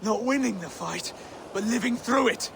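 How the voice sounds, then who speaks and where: Male underdog Saxon noble for a game, high voice, earnest and dogged, noisy ambience. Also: animated, normal speed, gravelly. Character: earnest, stubborn underdog Saxon would-be king. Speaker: video game character. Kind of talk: dramatic video game acting.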